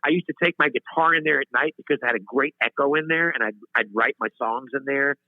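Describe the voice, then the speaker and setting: A man's voice: medium pitch, quick pace, no echo. A man in his fifties, a singer-songwriter guest telling long stories in an interview, rambling and restarting his sentences. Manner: rambling, self-interrupting, laughs at himself. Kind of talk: storytelling interview chat. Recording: tinny phone-line audio.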